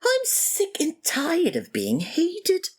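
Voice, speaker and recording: Woman acting a theatrical, wildly expressive supervillain. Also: extremely high pitch, slow, exaggerated voice. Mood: exasperated. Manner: theatrical, taunting, sarcastic. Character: theatrical supervillain monologuing at kidnapped hero, sardonic, weary. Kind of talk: scripted ASMR roleplay acting.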